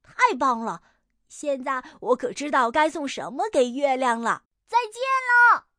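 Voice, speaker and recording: A woman's voice: very high-pitched, lively intonation, takes it slowly, sharp tone, no echo, clean studio sound, voice pushed up, full character voice. A woman voicing an eager, sweet-natured little storybook bear cub with a small childlike voice, exclaiming earnestly. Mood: delighted. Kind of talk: storybook character voice.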